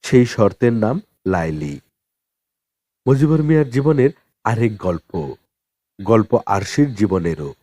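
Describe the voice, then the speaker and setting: A man narrating a novel as an audiobook in a dramatic, expressive storytelling style with deliberate pauses. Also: fairly low pitch.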